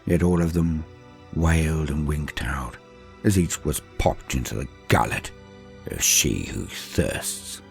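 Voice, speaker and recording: A man narrating a dark fantasy battle tale in a grave, theatrical way, with a husky voice. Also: very deep voice, takes it slowly. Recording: background music under the voice. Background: music.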